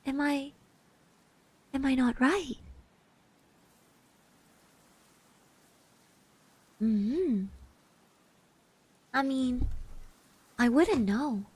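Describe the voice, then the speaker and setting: A woman plays a kind, bashful girl of eighteen in an ASMR classmate roleplay. Her voice is soft and fairly high, and she speaks very slowly with hesitant, reassuring stammers. The sound is close and dry. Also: lively intonation, husky, no room sound, full character voice, rough recording. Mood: tender, encouraging. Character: shy, kind eighteen-year-old girl classmate giving encouragement. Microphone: close microphone.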